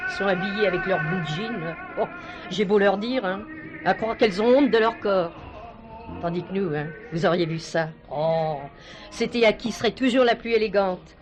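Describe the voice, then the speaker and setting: A woman's voice: medium pitch, close to an everyday voice. An actress playing an older former showgirl in a French stage play, chatty, emphatic, proud and full of nostalgia. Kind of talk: French stage comedy-mystery acting.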